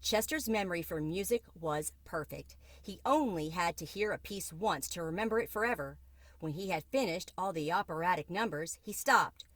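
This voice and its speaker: A woman with a fairly low voice reads a children's book aloud. The recording is close and dry, and she narrates as a storyteller. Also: animated, normal speed, a bit bright, no echo.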